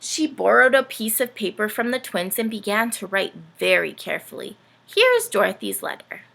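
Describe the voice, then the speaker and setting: A woman reads a storybook aloud for children. The voice is bright and very creaky, with lively swings in pitch and an engaging, expressive storytelling delivery. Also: moderate pace. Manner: animated, asks the young listeners questions.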